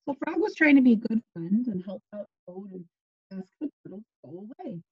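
A young woman, a teacher reading a children's story aloud in an animated way and asking her listeners questions. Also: mid-range voice, fairly quick, slightly rough. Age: young adult. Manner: animated, expressive. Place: small bedroom, indoors. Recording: slightly dull webcam audio.

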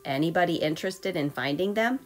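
A woman's voice: fairly low pitch, lively intonation, a little fast, soft background music, lightly acted. Woman reading as a playful Papa rabbit.

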